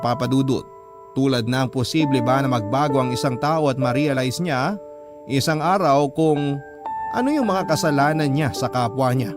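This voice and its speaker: Male Filipino radio narrator, dramatic letter reading, medium pitch, emotive, music bed behind. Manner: earnest, emotive storytelling. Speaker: radio host and story narrator.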